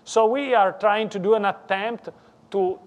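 A man with an Italian accent, a native Italian speaker, lecturing in halting English that he often corrects mid-sentence. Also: high voice, fairly even intonation. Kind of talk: technical conference talk. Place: lecture room.

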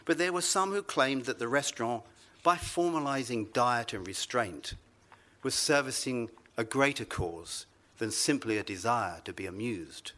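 A man reading his own literary story aloud to a live festival audience, measured and wry. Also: mid-range voice, animated, bright, forceful tone.

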